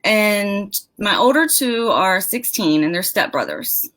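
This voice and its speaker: Relaxed, slow-paced American woman in her forties, casual guest voice. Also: medium pitch. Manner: unhurried, casual, laughs easily. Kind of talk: casual interview.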